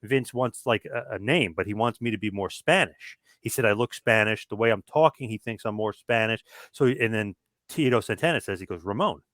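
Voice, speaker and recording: A man with a fairly low voice tells a long story on a podcast in an easy conversational way. The recording is dry and close. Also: fairly quick, a bit bright, no echo. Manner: storytelling, quoting others in asides. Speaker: podcast co-host. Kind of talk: casual podcast chat.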